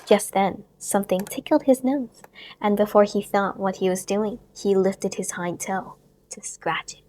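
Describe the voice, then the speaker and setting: Gentle woman reading a children's story. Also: mid-range voice, measured pace, a little hiss or hum. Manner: gentle, expressive read-aloud, sometimes hushed. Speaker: storybook reader.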